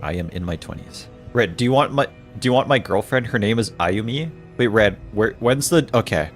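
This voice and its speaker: A man's voice: on the low side, expressive, normal speed, faint music behind. A man in his twenties streaming a game, joking with chat, reading messages aloud and laughing often. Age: 20s. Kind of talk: casual livestream chat while playing a game.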